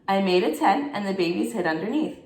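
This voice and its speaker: A woman, a teacher, expressively reading a bedtime story aloud to children and pausing to ask her young listeners questions. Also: mid-range voice, animated, a little mellow. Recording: echoey room.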